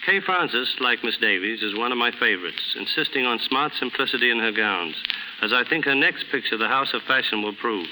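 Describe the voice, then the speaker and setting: A man's voice: medium pitch, expressive, brisk. A man giving a formal, scripted guest talk on 1930s radio. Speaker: guest stylist. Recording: noisy 1930s radio recording.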